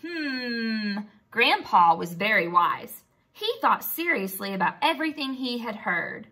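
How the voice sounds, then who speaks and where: A woman's voice: a little high, big pitch swings, a little slow, bright, forceful tone. A woman teacher reading a storybook expressively to young children, asking them simple questions and praising them. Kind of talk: expressive storybook read-aloud and lesson.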